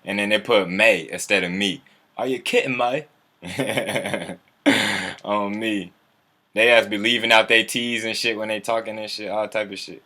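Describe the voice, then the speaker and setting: A man's voice: on the low side, fairly even intonation, measured pace, pushed and bright. A man hosting a casual livestream, talking in a laid-back, slangy, profane way and chuckling often. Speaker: livestream host.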